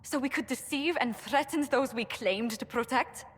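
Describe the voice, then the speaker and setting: An actress playing an indignant, principled diplomat in a fantasy game, speaking formally and pointedly. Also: female voice, high-pitched, moderate pace, breathy edge. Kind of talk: dramatic fantasy video game acting. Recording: long hall reverb.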